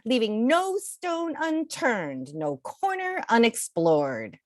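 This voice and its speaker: A woman reading aloud to children in an expressive, theatrical storytelling style, drawing out key words. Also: fairly high pitch, takes it slowly, no echo, clean studio sound. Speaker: children's picture book reader.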